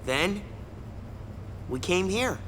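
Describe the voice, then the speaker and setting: An actor playing an excitable, friendly young male survivor in a video game cutscene. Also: high voice, drawn-out words, slight background noise, exaggerated voice. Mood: earnest, explaining. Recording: music clearly under the voice.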